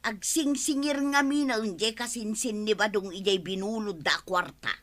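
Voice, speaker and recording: An actress with an Ilocano accent playing a gossipy village woman in a radio comedy drama, chatty and animated. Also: mid-range voice, moderate pace, close to an everyday voice. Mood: scheming. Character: gossipy village woman fretting over unpaid money.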